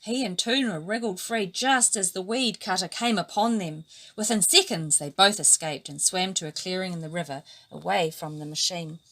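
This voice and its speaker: A woman from New Zealand reading a children's story aloud with animated, lively storytelling. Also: fairly low pitch, moderate pace. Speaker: storybook reader. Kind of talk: expressive children's story narration.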